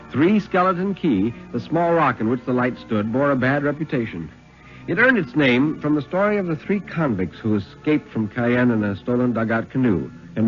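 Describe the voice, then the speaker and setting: Actor as seasoned lighthouse keeper, animated storyteller. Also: male voice, mid-range voice, close to an everyday voice. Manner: measured, reflective storytelling. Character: older veteran lighthouse keeper recalling his most terrifying experience. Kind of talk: 1950s radio dramatic reading. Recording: dull 1950s radio recording. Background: music.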